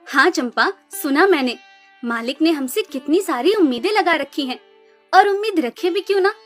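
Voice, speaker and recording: A woman's voice: high voice, expressive, normal speed. A woman voicing a spiteful younger sister cow in a cartoon story, mocking and scornful. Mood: earnest. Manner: taunting, scornful. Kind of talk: Hindi animated moral story dialogue. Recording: music behind the voice.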